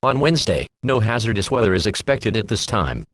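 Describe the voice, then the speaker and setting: A man reading scripted emergency alerts and weather bulletins as a radio announcer, in a flat, official, impersonal manner. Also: on the low side, brisk, slight background noise.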